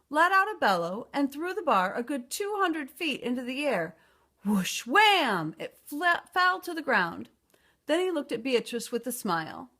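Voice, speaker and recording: Lady reading a kids book out loud, kinda high voice, really animated storyteller delivery. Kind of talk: expressive children's read-aloud narration.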